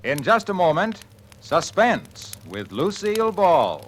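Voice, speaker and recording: A man pitches a sponsor in hearty 1940s radio style, his voice fairly high and very animated. He rattles off folksy sales patter. The vintage recording carries faint noise. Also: slow pace.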